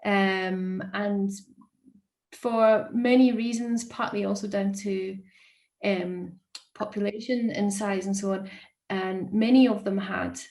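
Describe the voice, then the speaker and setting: A woman's voice: mid-range voice, creaky, a little mellow. A woman who works as a social worker, joining a webinar panel over a video call and talking in a chatty way with many ums and self-corrections.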